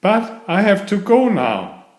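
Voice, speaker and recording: A man's voice: high voice, huge pitch swings, slow pace, voice pushed up, exaggerated voice. A man voicing a cheerful, literal-minded housekeeper woman with a folksy, matter-of-fact way of talking, in a storybook read-aloud. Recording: roomy echo.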